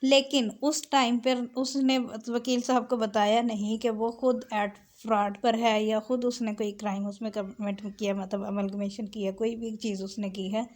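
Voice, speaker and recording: A woman with a fairly high voice delivers a lecture. She reads passages out deliberately, then explains them step by step. She moves back and forth between Urdu-Hindi and English as she teaches. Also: level tone, darkish tone. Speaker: law lecturer.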